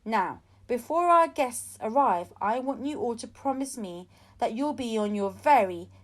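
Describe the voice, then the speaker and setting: A woman voicing a brisk, commanding female primary school teacher addressing her class in an audiobook. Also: fairly high pitch, lively intonation. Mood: announcing, firm.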